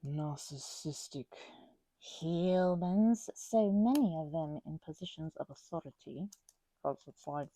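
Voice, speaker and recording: A husky woman hosts a soft crafting ramble and slips between whispers and gentle chatter. Her voice is mellow and gravelly, with lively swings in pitch. The sound is dry and close. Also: poor audio. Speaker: ASMR channel host.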